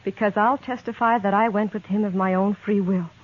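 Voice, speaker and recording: An earnest, defiant young American frontier woman played by an actress speaks with quiet determination at a middling pitch. It is 1950s radio drama acting, heard through a thin, tinny, narrow-band old recording. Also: fairly even intonation, a little fast, natural voice. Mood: explaining, sincere.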